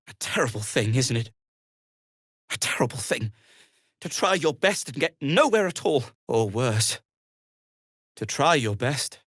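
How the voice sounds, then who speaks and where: An actor playing an anxious, devoted gnome inventor in a video game, speaking in a hesitant, politely formal way. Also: male voice, mid-range voice, no echo, studio quality. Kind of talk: video game character acting.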